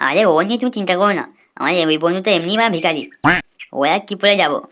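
A woman's voice: on the low side, animated, rapid-fire, a bit bright. A woman voicing a cheeky, whiny young boy in a comic cartoon, grumbling and easily frightened. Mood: cocky, dismissive. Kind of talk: comic cartoon dubbing in colloquial Bengali.

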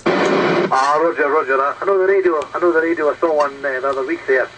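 A man's voice: on the high side, fast. A Scottish man chatting casually over CB radio, repeating himself and often ending sentences with "eh". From Scotland. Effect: heard over CB radio. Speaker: amateur CB radio operator. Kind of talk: casual two-way radio chat. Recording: very noisy CB radio transmission. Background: loud radio static and hiss.

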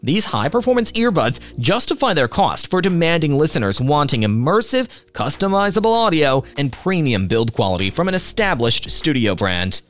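A man reading scripted product review narration with a polished promotional delivery. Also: a little high.

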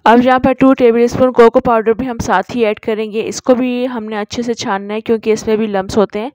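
A woman's voice: fairly high pitch, steady tone, brisk, darkish tone, no room sound. A woman narrating a recipe tutorial as a voice-over, explaining each step in an instructive way. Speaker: cooking channel host.

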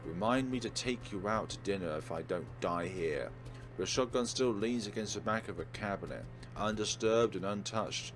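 Male let's play host, lowish voice, narrating a visual novel with casual asides over game music. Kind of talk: dramatic read-aloud of a visual novel with casual commentary.

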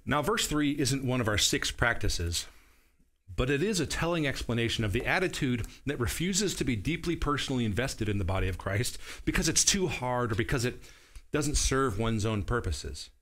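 A man's voice: mid-range voice, expressive, normal speed, fairly bright tone. A man in his forties preaching at a table in a small studio. He explains his verses one by one in an earnest teaching tone and now and then checks that his listeners are following. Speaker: pastor. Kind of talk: sermon. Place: small studio set with a wooden wall. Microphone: seated at a table, camera at medium distance.

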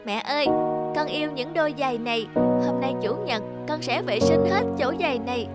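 Woman as a high-voiced, emotive little cartoon boy. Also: moderate pace, close to an everyday voice. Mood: earnest. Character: young boy, whiny, fickle, then remorseful. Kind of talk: cartoon dubbing. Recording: music behind the voice.

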